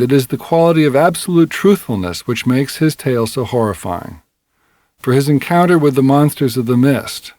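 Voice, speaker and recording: A man reading a scripted scholarly introduction in a measured, formal, articulate manner. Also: medium pitch, a little mellow, dry recording. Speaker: audiobook reader of an introduction.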